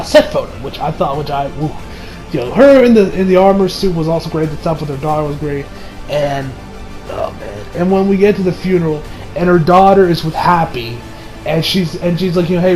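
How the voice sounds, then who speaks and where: A young man talks through a movie review in a casual, slangy way. His voice sits a little high, and he wanders into asides like an excited fan. Music plays behind him. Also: rough recording. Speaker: video reviewer.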